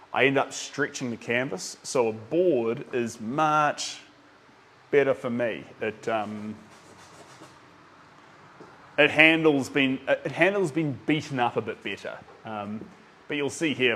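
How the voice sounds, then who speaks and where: Chatty Kiwi painter on a livestream. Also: male voice, mid-range voice, a bit bright, slight background noise. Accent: New Zealand. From New Zealand. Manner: chatty, rambling with many ums, reads out chat names. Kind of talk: casual livestream chat while painting.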